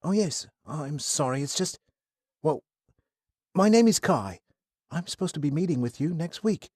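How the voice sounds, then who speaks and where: A flustered, courtly young man of eighteen stammers through apologies in a storybook tale, played by a man. The voice is pitched above the reader's own, with a mellow, dark tone. The recording is close and dry. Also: lively intonation, normal speed, no echo. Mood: nervous.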